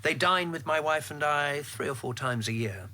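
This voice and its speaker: A middle-aged government insider in a radio drama. The voice is bright and firm, with animated pitch movement. He is articulate, measured and drily ironic, keeping his guard up. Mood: firm, defensive. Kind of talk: radio drama narration and dialogue.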